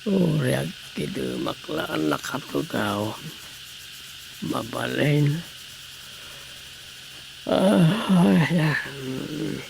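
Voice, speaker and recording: A man's voice: fairly high pitch, drawn-out words, pauses often, heavily acted. An actor playing a wry, grumbling village councilman who mutters and interjects in a comedy radio drama. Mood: muttering, weary. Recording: clearly noisy radio drama recording.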